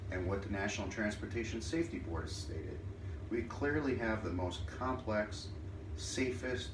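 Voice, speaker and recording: A low-pitched man presents a formal, persuasive speech, heard from well away from the microphone. He speaks deliberately, breaking sentences into short phrases. The room adds echo, and there is clear noise behind him. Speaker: student or presenter giving a speech. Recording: roomy, distant mic, clear background noise.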